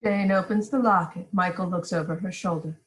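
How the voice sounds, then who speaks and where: A woman's voice: on the low side, fairly even intonation, fairly quick, rough recording. A woman reading stage directions as narrator in an amateur script read-through.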